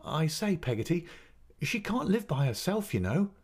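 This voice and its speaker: A man's voice: a little high, big pitch swings, moderate pace, darkish tone, pitched above the performer's own voice. A man voicing an earnest, insistent small boy.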